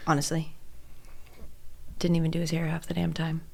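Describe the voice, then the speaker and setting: A woman's voice: deep voice, completely flat delivery, normal speed, creaky, darkish tone. An American woman in her thirties chatting casually as a podcast co-host, emphatic and playful.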